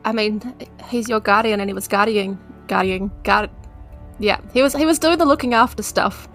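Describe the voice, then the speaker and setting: A woman's voice: medium pitch, normal speed, fairly bright tone, soft background music. A woman with a New Zealand accent chatting casually as a player in a tabletop game podcast.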